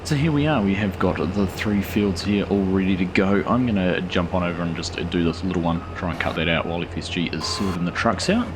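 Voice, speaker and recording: A man, a bit hoarse, giving relaxed, rambling commentary over a farming game. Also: low voice, fairly quick, few pauses, noisy background. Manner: relaxed, rambling with frequent fillers. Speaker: gaming channel host. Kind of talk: casual gameplay commentary.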